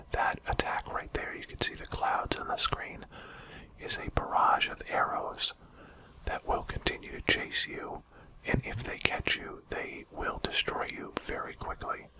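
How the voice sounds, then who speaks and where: A man speaking in a breathy whisper, giving quiet, explanatory commentary on a video game as a channel host. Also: very high voice, huge pitch swings, unhurried, rough recording.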